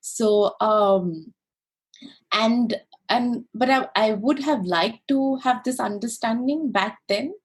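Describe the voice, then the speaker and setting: A woman from India, a guest on a podcast, speaking in an animated, thoughtful way with many fillers and restarts. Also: on the high side, slow, slightly rough, poor audio. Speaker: podcast guest, service designer. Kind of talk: casual interview conversation.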